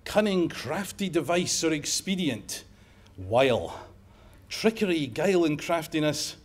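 A middle-aged Scottish man lecturing at a conference in a fairly high voice. He talks in a wry, conversational way and slips jokes into his points. A lapel mic picks him up in a lecture room. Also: very animated, unhurried. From Scotland. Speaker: emergency medicine professor giving a lecture. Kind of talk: conference talk.

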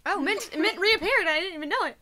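A woman's voice: very high voice, fast, very noisy. A woman hosting an online quiz stream, chatting casually with friends in a giggly, exclamatory way. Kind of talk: casual group chat on a livestream.

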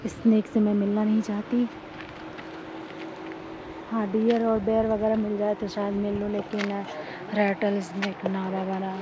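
Woman in her thirties, excitable travel vlogger, selfie camera, dull audio, loud wind behind. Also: mid-range voice, measured pace, long pauses. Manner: chatty and excitable, pointing out sights. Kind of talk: casual travel vlog narration. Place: outdoors on a sunny volcano hiking trail. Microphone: camera held at arm's length. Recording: dull outdoor phone audio with wind noise.

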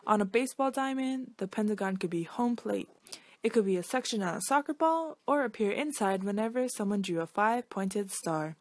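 A woman reading a children's picture book aloud in an expressive storytelling style. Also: medium pitch, a little fast, no room sound.

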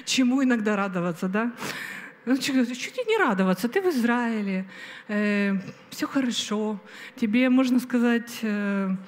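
A middle-aged woman delivers a sermon from a stage, holding a microphone. She speaks slowly and with emphasis, pausing often and filling gaps with hesitations. Her voice rings out with a long reverb from the hall. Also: on the high side. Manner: slow, emphatic preaching with many pauses and fillers. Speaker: preacher. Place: stage of a large hall with an audience. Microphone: handheld microphone.

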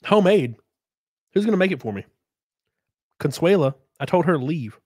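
Man, 30s, congested cold, crude joker, very animated pitch, dry close mic. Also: moderate pace, husky, dry recording. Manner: crude, joking, laughs often. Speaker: livestream host. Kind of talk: casual live-stream chat reading donations. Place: small home streaming room. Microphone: studio mic on boom arm, close.

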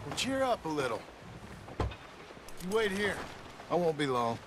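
An actor playing a gruff, weary, evasive American frontier father in a video game, talking curtly in folksy phrases. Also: on the high side, very animated, measured pace. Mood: firm, fatherly. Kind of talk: naturalistic video game acting. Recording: game dialogue over wagon and outdoor noise.

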